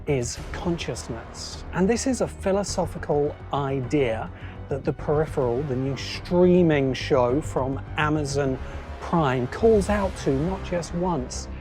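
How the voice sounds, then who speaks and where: A man narrating a scripted video essay as host, phrasing deliberately with pauses for emphasis. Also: on the high side, over music. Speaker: host, science fiction critic.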